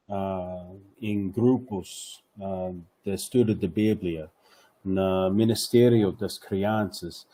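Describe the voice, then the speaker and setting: A man shares a missionary testimony in careful, halting Portuguese. He speaks very slowly, with a low, mellow voice, and fills his pauses with hesitations. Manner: halting non-native Portuguese, many fillers. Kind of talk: sharing a testimony in class.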